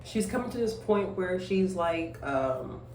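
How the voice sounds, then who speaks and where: A woman's voice: lowish voice, lively intonation, a little slow, darkish tone. A twenty-five-year-old woman, a single mother, chatting casually at home while grooming, rambling with lots of fillers and emphatic asides. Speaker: vlogger, young single mother. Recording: echoey room, voice off-mic, faint noise.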